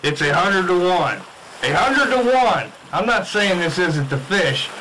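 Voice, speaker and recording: A man's voice: high voice, expressive, measured pace, noisy background. A man playing a methodical, sceptical fish scientist in a radio drama spoof. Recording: music behind the voice.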